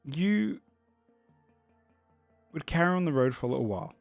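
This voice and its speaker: A man's voice: fairly high pitch, lively intonation, moderate pace, creaky, no room sound, soft background music. A man narrating a tabletop game as game master, describing the scene in a searching, descriptive way.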